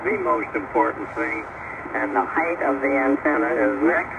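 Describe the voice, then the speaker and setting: A man in his eighties chatting casually and haltingly as an amateur radio operator, heard over a shortwave radio link. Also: medium pitch, gravelly, dull audio. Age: 80s. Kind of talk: casual ham radio chat. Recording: noisy, static-laden ham radio reception. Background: radio static and hiss.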